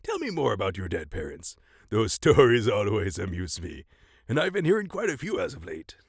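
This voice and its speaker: A man's voice: lowish voice, wildly swooping pitch, normal speed, husky, no room sound, heavily acted. A man playing an arrogant, gleefully evil ten-year-old boy genius in a comic audio drama, with a pompous manner. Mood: haughty, curious. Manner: pompous, coolly villainous.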